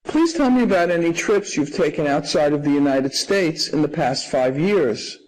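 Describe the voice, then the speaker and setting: A man's voice: on the high side, animated, normal speed, a little mellow, low-quality recording. A man playing a formal interviewer and asking set questions in a scripted practice dialogue. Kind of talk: scripted role-play questions.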